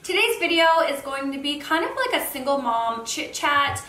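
A woman's voice: sits high, sing-song, moderate pace, crowd noise. A young woman chatting casually in a vlog, sounding nasal from a cold, recorded on a camera without a separate microphone. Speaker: vlogger. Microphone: built-in camera mic. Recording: echoey tinny camera audio.

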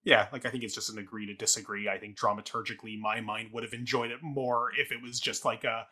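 A man's voice: on the low side, little pitch movement, fast talker, pushed and bright, breathy edge. A man co-hosting a theatre talk show, talking conversationally and arguing his view with many qualifications. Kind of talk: conversational review discussion.

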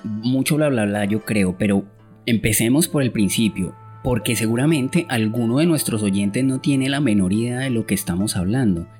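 A man's voice: fairly low pitch, a little mellow. A man with a Colombian Spanish accent co-hosting a travel podcast in a conversational style. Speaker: podcast co-host.

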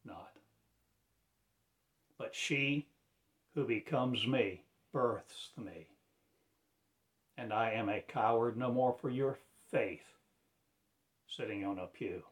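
A man preaches in a slow, unhurried way, his voice sitting at an ordinary middle pitch. He speaks solemnly and declaims like a prophet, rising suddenly to loud swells and sinking into hushed drops. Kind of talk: rambling prophetic monologue.

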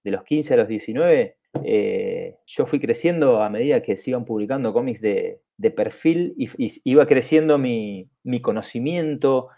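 A man's voice: mid-range voice, moderate pace, gravelly, hardly any pauses, warm tone. A man, chatting casually on a podcast in a rambling way, with lots of restarts and repeats. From southern Chile. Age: 40s. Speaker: podcast co-host.

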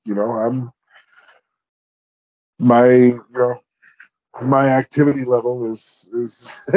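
A man's voice: mid-range voice, mellow, highs cut off. Man in his forties, hesitant podcast talk. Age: 40s. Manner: hesitant, restarts often. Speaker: podcast co-host. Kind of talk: casual podcast chat.

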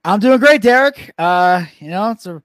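A man's voice: high-pitched, lively intonation, normal speed, fairly bright tone. A man co-hosting a podcast, upbeat and joking in casual chat. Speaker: podcast co-host.

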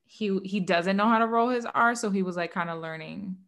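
A woman's voice: mid-range voice, brisk, fairly bright tone. A woman from Puerto Rico co-hosting a podcast in casual chat, chatty and laughing often, with lots of "like.". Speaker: podcast co-host.

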